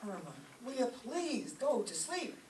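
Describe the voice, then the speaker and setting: Woman as a sardonic roommate, animated high voice. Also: measured pace. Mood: exasperated. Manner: dry, wisecracking. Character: sardonic, exasperated, sensible young woman roommate. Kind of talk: old-time radio comedy acting on stage. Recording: echoey stage, distant mic, faint noise.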